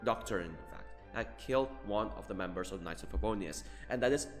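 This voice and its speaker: A man's voice: lowish voice, a little fast. A man giving casual live game commentary as a streamer, rambling, repeating himself emphatically and swearing offhand. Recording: reverberant room, game music behind.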